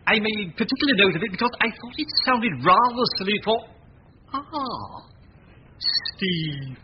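Male, deferential radio butler, flustered and halting, lively high voice, dull noisy 1940s recording. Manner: hesitant, fussy, apologetic. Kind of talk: 1940s radio drama acting.

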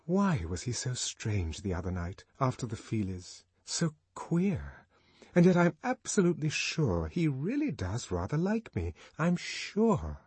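A man's voice: mid-range voice, wildly swooping pitch, measured pace, a little mellow, breathy edge, dry recording, pitched above the performer's own voice, full character voice. A man voicing an anxious, lovesick young woman who talks in a wistful, doubting way.

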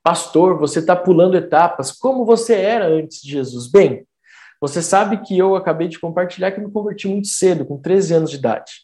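A Brazilian pastor talks through a sermon in an earnest, conversational way, often pausing on fillers. His voice sits a little high and sounds warm and mellow. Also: expressive. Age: 30s. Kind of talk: online testimony sermon.

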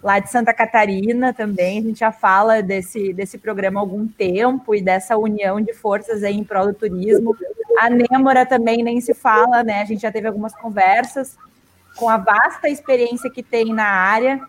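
A woman's voice: a little high, fairly even intonation, normal speed, rough recording. A woman from Mato Grosso hosting an online talk show, friendly and welcoming, on a video call. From Mato Grosso, Brazil. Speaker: host.

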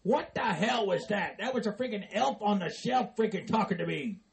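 A man playing a gravelly, cursing Christmas-hater. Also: sits high, lively intonation, normal speed, a little hiss or hum. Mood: freaked out. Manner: profane, blustering, exasperated. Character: grumpy cursing middle-aged man who hates Christmas. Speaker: comedy character.

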